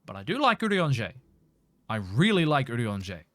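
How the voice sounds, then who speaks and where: An Australian man giving casual, expressive game commentary and chatting with viewers on a livestream. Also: medium pitch, normal speed, dry recording. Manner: expressive, sweary.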